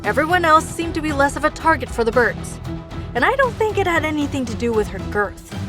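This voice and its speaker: A woman's voice: sits high, sing-song, brisk, over music. A woman acting as a scared, bitter helpline caller in an audio drama, telling things in clipped, sardonic fragments.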